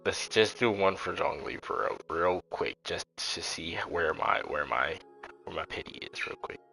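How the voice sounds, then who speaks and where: A man giving casual, excitable livestream commentary on a game, swearing and talking to himself. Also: low-pitched, moderate pace, vocal fry, low-quality recording. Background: game music.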